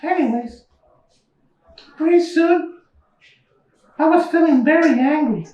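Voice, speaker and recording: Young monster puppet, very high squeaky voice, childlike, unhurried delivery, slightly distant echoey recording. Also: female voice, a little mellow, muffled recording. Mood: glum. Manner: childlike, simple storytelling to an audience. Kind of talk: live puppet show performance.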